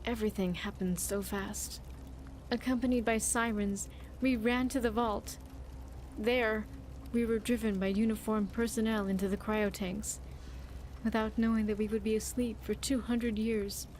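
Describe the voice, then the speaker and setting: A woman's voice: mid-range voice, steady tone, normal speed, darkish tone, slight background noise, natural voice, low-quality recording. A woman voicing an earnest, wistful vault survivor companion in a game, speaking in formal, reflective monologue. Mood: wistful, sorrowful. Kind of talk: scripted game dialogue, confiding monologue.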